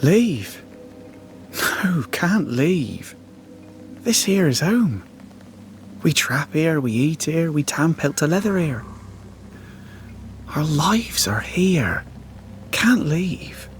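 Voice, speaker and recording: A slow-spoken, haunted starving trapper. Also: male voice, a little high, sing-song, faint music behind, exaggerated voice. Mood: stubborn, bewildered. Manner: rustic dialect phrasing, plain halting sentences. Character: haunted, starving male trapper, simple, devout and stubbornly loyal. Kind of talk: dramatic video game cutscene acting.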